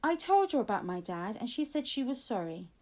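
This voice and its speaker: Woman, high voice, very lively intonation, children's audiobook narration, expressive read-aloud. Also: fairly quick. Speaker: audiobook reader. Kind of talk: expressive children's story narration in first person.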